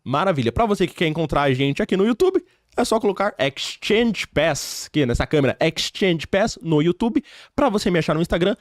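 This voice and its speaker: A man's voice: sits high, fairly quick, no echo. Brief: Brazilian male podcast host, emphatic and chatty, speaks straight to viewers, close dry sound. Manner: animated, emphatic, addresses the audience directly. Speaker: podcast host and English teacher. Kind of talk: casual interview chat. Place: podcast studio.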